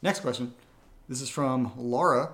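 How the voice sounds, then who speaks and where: Male co-host, medium pitch, informal Q&A delivery in short remarks. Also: unhurried, fairly bright tone. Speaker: audio drama writer, co-host reading listener questions. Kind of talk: casual Q&A chat.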